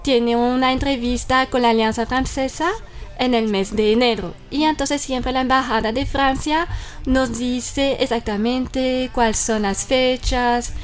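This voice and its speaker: French-accented woman, a bit high-pitched. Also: fairly even intonation, unhurried, soft background music. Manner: speaks in long explanations with fillers like "mira" and "entonces". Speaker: guest from a French cultural institute. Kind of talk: interview answers.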